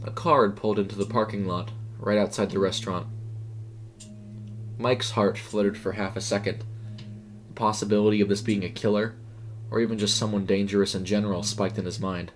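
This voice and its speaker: A man reading a scripted horror story in a measured, suspenseful way. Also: fairly low pitch, fairly even intonation, slight background noise. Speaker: audio fiction narrator. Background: music.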